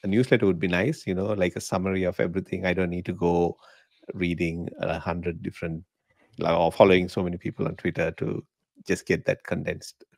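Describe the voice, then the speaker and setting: Man, deep voice, gravelly edge, relaxed chatty guest, dry close mic at home. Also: fairly quick, dry recording. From Sri Lanka. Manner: relaxed, chatty, laughs often. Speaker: podcast guest. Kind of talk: casual interview chat. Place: home living room. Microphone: podcast mic in frame, video call.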